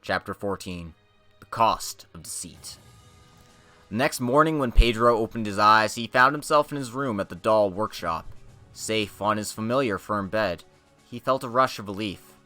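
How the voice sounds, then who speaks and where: A man's voice: lowish voice, moderate pace, sharp tone, faint music behind. A man narrating a fantasy tale as a dramatic reading.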